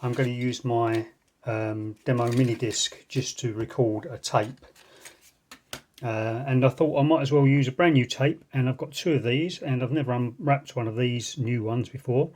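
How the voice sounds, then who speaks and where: A man's voice: medium pitch, steady tone, moderate pace, darkish tone. A man hosting an unboxing video with casual, unscripted commentary and dry, self-deprecating asides. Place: indoors at a tabletop. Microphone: phone filming the tabletop.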